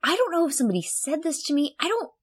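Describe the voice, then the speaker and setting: A woman's voice: sits high, sing-song. A young woman co-hosting a podcast, rambling and correcting herself as she chats. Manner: rambling, self-correcting, quick to laugh. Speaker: podcast co-host. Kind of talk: casual chat.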